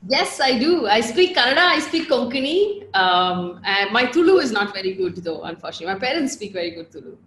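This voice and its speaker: A woman from Bangalore with a Bangalore accent, a journalist telling her story as an interview guest in a chatty, animated way, laughing often and trailing off with fillers. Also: medium pitch, brisk, hardly any pauses, echoey room, a little hiss or hum. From Bangalore, India. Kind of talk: casual interview chat.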